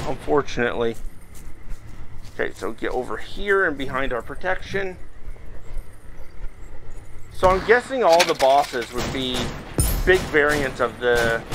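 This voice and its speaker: A man hosting a gaming video, giving casual, chatty commentary and thinking aloud as he plays. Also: fairly high pitch. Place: indoors, playing in a VR headset in front of a camera. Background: game music.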